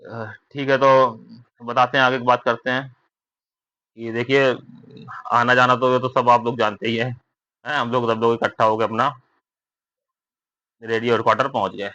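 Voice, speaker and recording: A man's voice: mid-range voice, level tone, normal speed, long pauses, sharp tone, dry recording. A young man giving viewers an informal spoken update, conversational and often checking for agreement with tag questions. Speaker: vlogger giving recruitment updates. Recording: dull lo-fi recording.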